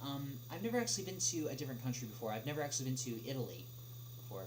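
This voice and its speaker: A young man answering questions in a casual livestream chat, speaking haltingly with many drawn-out filler pauses. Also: mid-range voice, very animated. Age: young adult. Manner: halting, hesitant delivery with frequent long filled pauses. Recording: clearly noisy, humming background.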